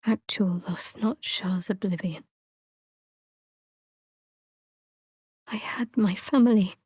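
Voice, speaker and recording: Fantasy game cleric: young woman, guarded and sardonic, wry reserved delivery, medium pitch, very dry studio sound. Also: warm tone, breathy edge, dry recording. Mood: grieving. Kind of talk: video game character voice acting.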